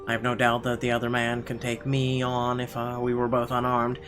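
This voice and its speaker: A man narrates a horror visual novel in the first person as he plays. His medium-pitched voice is dramatic and expressive, with faint music running underneath. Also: brisk. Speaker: let's player reading game narration.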